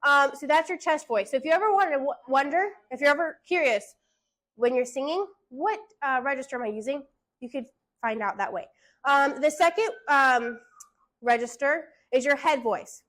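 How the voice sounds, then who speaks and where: High-voiced woman teaching a singing class. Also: normal speed. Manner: keeps checking in with "okay?" and hushing the class.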